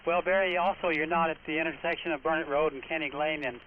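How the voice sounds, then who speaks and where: Through a thin radio channel with clear static comes an American man with a fairly high-set voice. He talks casually, like a ham operator running an on-air net. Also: little pitch movement, quick pace. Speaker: amateur radio net controller. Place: voice heard over amateur radio link. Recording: tinny shortwave radio, clear static noise.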